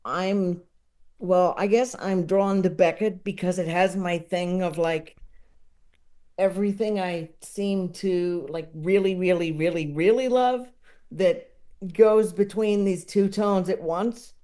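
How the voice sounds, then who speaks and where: A woman chatting casually as a podcast guest, enthusiastic and digressive, often halting as she works out her thought. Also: on the low side, dark tone. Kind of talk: casual chat about books and comedy.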